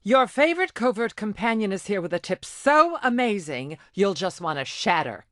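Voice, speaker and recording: A woman voicing a self-important, melodramatic gem informant in an animated game, pompous and showy. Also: mid-range voice, normal speed, no echo, studio quality.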